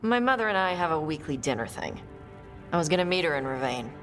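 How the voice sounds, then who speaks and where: Forthright young game companion, lowish pitch, animated, casual, direct, light music behind. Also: female voice, fast. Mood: friendly. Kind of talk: video game dialogue acting.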